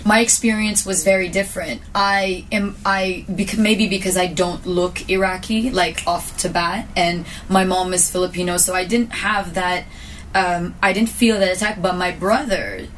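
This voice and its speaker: A woman co-host speaks earnestly in a lowish voice and keeps restarting her thoughts. She sounds set back from the microphone. Faint ambience runs underneath. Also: fairly even intonation, normal speed, fairly bright tone, a little hiss or hum. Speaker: podcast co-host. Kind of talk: casual podcast conversation. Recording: voice picked up from a distance.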